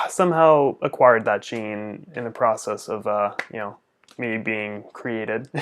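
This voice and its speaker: A young man, a speech-language pathologist, answering viewer questions in a casual vlog, earnest and open, stuttering often with repeated sounds and blocks. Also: medium pitch.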